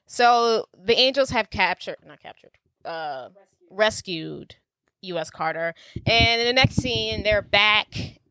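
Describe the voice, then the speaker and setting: A woman's voice: mid-range voice, expressive, slow pace, sharp tone, clear, resonant tone, no echo, poor audio. A woman co-hosting a podcast, recapping a movie in an easygoing, teasing way. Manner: easygoing, teasing, laughs often. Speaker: podcast co-host. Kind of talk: casual movie recap chat.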